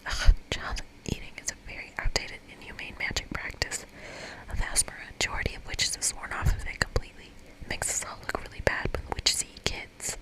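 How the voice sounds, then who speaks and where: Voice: fairly quick, close to an everyday voice, poor audio. A performer voicing an earnest young witch woman in a whisper, matter-of-fact and primly correcting, in an ASMR game reading. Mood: disapproving.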